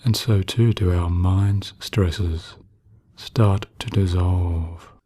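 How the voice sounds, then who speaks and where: A man narrating guided sleep hypnosis in a soothing, hushed manner with long pauses. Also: low-pitched, steady tone, no room sound.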